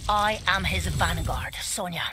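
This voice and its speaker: A woman's voice: fairly low pitch, expressive, a little slow, heavy background noise. A woman playing a haughty, menacing blood-mage assassin in a fighting video game, speaking with contempt. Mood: proud. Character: menacing, haughty female blood-mage assassin, fiercely devoted to her master. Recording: loud game ambience behind.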